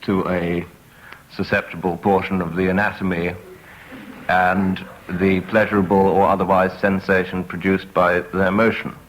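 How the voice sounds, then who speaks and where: A man on a comedy panel, improvising answers in a halting, mock-scholarly way. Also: sits low, flat delivery. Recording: noisy old live radio recording.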